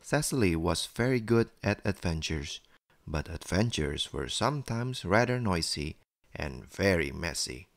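A man's voice: sits low, sing-song, measured pace, gravelly, no echo, studio quality. A man reading a scripted bedtime story to children as the narrator.

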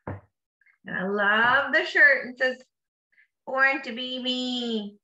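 A woman's voice: high-pitched, very slow, pushed and bright, dull audio. A woman reading a picture book aloud to children like a teacher, with encouraging, simply worded asides in between. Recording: echoey room.

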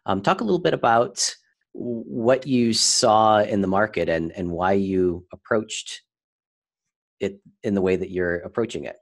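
Low, slightly husky male host. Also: measured pace. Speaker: podcast host. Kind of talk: casual interviewing.

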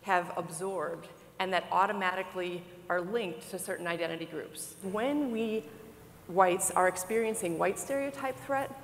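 A woman's voice: on the low side, moderate pace. Woman researcher on a panel, explains stuff precisely, hall reverb, faint hiss. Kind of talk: conference panel discussion. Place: conference stage. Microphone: lapel mic.

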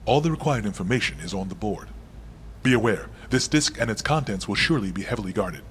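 An actor voicing a serious heist strategist in a video game, measured and formal. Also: male voice, lowish voice, animated, fairly bright tone, a little hiss or hum. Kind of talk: cinematic video game acting.

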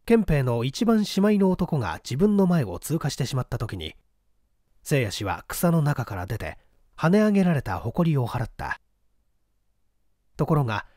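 Animated male audiobook narrator, lively intonation. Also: on the high side, no room sound, clean studio sound. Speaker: audiobook reader. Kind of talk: scripted literary narration of a period detective novel.

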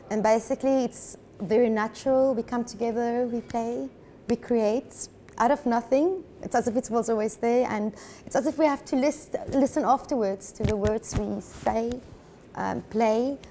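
Musician guest, woman in her thirties, from South Africa; soft mellow voice, dreamy and gushing. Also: fairly high pitch, a little hiss or hum. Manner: dreamy, laughs often, gushing. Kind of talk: relaxed interview chat. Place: studio lounge, indoors.

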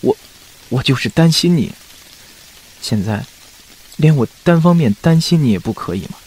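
A man's voice: mid-range voice, lively intonation, moderate pace, darkish tone, slightly rough, rough recording. An actor playing an anxious, devoted young man who speaks hesitantly and pleadingly, in an audio drama. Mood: worried, pleading.

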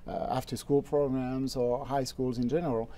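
A man's voice: medium pitch, normal speed. A man from France with a French accent, chatting easily as a guest in a TV studio interview. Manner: relaxed, easygoing, laughs often. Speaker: guest musician. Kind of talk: casual interview chat. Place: TV studio set.

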